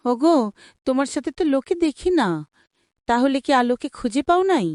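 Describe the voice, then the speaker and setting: A woman's voice: sits high, moderate pace, darkish tone, no echo, studio quality, close to an everyday voice. A woman voicing an anxious village mother in a cartoon, pleading for her son. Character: worried village mother, devoted. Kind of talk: cartoon voice acting.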